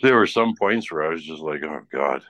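Casual male talker for a chat show: low voice, dry sound, rambling anecdotal delivery. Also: animated, brisk, fairly bright tone, dry recording, muffled recording. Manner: rambling, often tags sentences with "right?".

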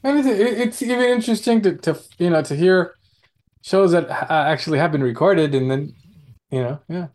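A man co-hosting an informal show, chatting casually in a halting way and often repeating his words. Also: on the high side, darkish tone. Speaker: co-host running the muting. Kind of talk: casual chat.

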